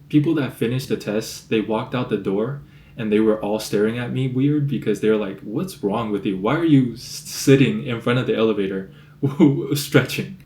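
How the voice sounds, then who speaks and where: Deep-voiced young man vlogging casually. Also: echoey room. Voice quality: deep in the morning. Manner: relaxed storytelling, lots of "like" fillers. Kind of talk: casual day-in-the-life vlog talk.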